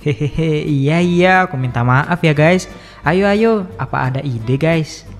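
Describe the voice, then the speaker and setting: A man playing an earnest, polite college student who explains and smooths things over, in an animated story. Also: on the high side, measured pace, faint music behind. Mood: eager planning. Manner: conciliatory, explanatory. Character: earnest, polite male college student, group's careful planner. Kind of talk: animated horror story dialogue.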